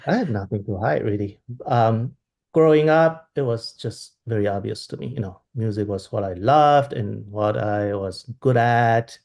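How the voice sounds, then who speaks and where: A man in his thirties from Taiwan, answering interview questions over a video call in a thoughtful way, often pausing and restarting as he looks for words. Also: on the low side, gravelly, a little mellow. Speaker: violinist guest. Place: home room with plain wall. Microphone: laptop webcam on video call.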